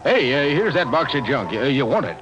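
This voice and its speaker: A man's voice: mid-range voice, very animated, fairly quick, music in the background, natural voice. An actor playing a brusque, breezy city man with slangy talk in 1940s radio drama style. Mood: brusque, casual. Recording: dull, noisy old radio recording.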